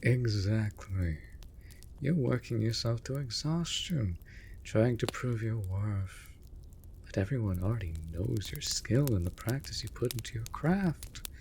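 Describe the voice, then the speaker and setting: A low-pitched male raven familiar acted by a man speaks over faint background noise. He is formal and theatrical, an exasperated but devoted servant in a fantasy audio drama who chides because he cares. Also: gravelly, a little mellow. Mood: earnest, frustrated concern. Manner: theatrical, formal, scolding yet caring. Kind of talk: scripted fantasy audio roleplay.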